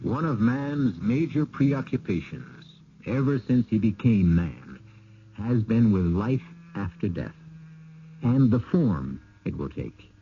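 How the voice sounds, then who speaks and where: Low-voiced theatrical male radio host. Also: very animated. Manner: measured, philosophical, theatrical. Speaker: radio drama host narrator. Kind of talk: scripted dramatic narration. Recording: muffled, noisy old radio recording.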